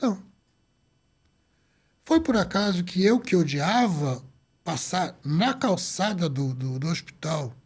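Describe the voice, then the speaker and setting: Lively older man telling stories. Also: high-pitched, slow pace, pauses often, slightly rough. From Azores, Portugal. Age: 70s. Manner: lively, acts out quoted dialogue. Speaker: doctor guest. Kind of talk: storytelling interview.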